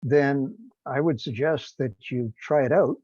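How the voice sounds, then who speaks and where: A man's voice: mid-range voice, unhurried, a little mellow, no room sound. A man talking informally in a technical teleconference, hesitant, rambling and self-deprecating, over a call connection. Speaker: non-scientist project participant in a group technical call. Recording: dull lo-fi conference call, dry.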